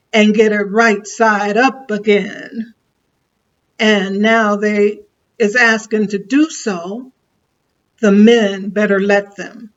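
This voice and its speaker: A woman's voice: on the high side, dull audio. A woman performing an older woman orator, bold and plainspoken, addressing a crowd in a preacherly, folksy way with a repeated refrain.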